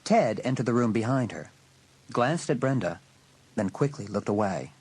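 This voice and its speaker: A man's voice: mid-range voice, lively intonation, normal speed, a little mellow, husky, poor audio. A man reading scripted story narration as an audiobook narrator.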